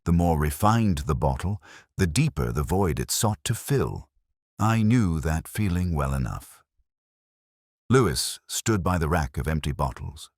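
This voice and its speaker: Very deep male story narrator. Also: vocal fry, slightly rough, dry recording, clean studio sound. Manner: measured, literary storytelling. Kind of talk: scripted first-person detective story narration.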